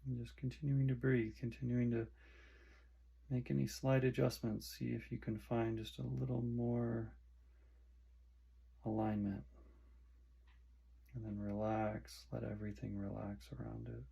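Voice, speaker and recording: A man guiding a meditation in short, soothing phrases with long pauses between them. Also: medium pitch, little pitch movement, gravelly, mellow, husky. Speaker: meditation guide. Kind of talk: guided meditation instruction.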